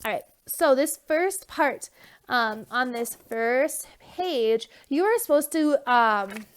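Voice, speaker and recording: Young woman teacher, stuffy nose, chatty and casual, somewhat high, phone-recorded. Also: animated, slow. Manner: loose and chatty, many fillers and okay check-ins. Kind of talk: casual remote lesson.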